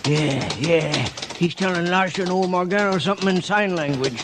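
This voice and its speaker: A man plays a folksy cowboy sidekick on a noisy old radio recording. His voice is high, and his talk is colloquial, jumpy and grumbling, in vintage western style. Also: moderate pace, lightly acted. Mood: uneasy. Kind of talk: 1950s radio western acting.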